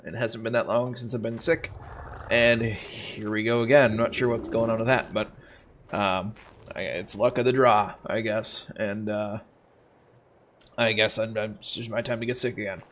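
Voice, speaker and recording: A man's voice: fairly low pitch, fairly even intonation, moderate pace, a bit bright, a little hiss or hum. Stuffed-up man chatting over gameplay. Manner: chatty, rambling, with many ums and uhs. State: stuffed up with a cold. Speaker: gaming channel host. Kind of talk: casual gameplay commentary.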